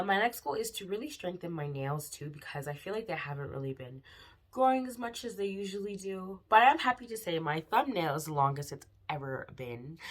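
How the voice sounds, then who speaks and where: A young woman with a slightly raspy voice from a cold, chatting through a casual nail polish haul vlog with lots of ums. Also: deep voice, fairly bright tone. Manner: chatty, frequent ums, bubbly about products.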